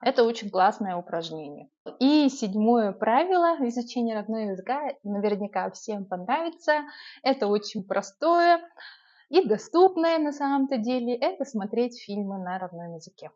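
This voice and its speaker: A woman's voice: a little high, normal speed. Young woman, urban Yakut accent, chatty advice vlogger; slightly muffled, lo-fi recording. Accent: urban Yakut Russian. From Yakutia, Russia. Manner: chatty, lively, many filler sounds. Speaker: vlogger giving language-learning tips. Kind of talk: casual advice vlog.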